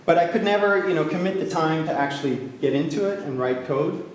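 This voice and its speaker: A man gives a meetup tech talk in English, his pitch a bit higher than most men's. He speaks haltingly, with many fillers and restarts, and slips in self-deprecating jokes. The sound is very echoey with a faint hiss of noise. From Austria. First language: German. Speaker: conference speaker. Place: large echoey hall with a crowd.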